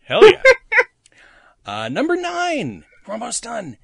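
A man's voice: sits high, wildly swooping pitch, slow, creaky, husky, dry recording, studio quality. A man hosting a podcast, reading out trivia items with a wry, teasing delivery in playful chat. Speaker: podcast host presenting trivia.

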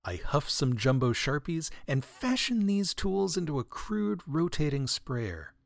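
Deadpan man with lively, swooping delivery. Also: on the high side, a little slow, gravelly, no echo, studio quality. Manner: deadpan, mock-grandiose, florid wordy delivery. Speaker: podcast host, writer and audio editor. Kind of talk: wry comedic monologue, answering listener mail.

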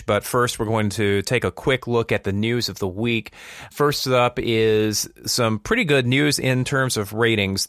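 A man with a medium-pitched voice hosts a conversational podcast. He explains news items in a chatty way and tosses out questions to his co-host. The sound is dry, with little room in it. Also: a bit bright, no echo.

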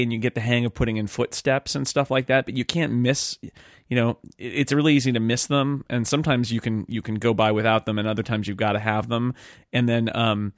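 A man chatting casually as a podcast host in a group discussion, talkative and quick to laugh. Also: mid-range voice, dry recording, clean studio sound.

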